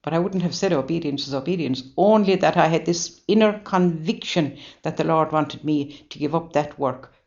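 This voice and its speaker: Low-voiced older Irish nun. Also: female voice, darkish tone. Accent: Irish, Waterford. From Ireland. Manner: earnest, halting, many restarts. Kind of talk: interview reminiscence. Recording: dull lo-fi recording.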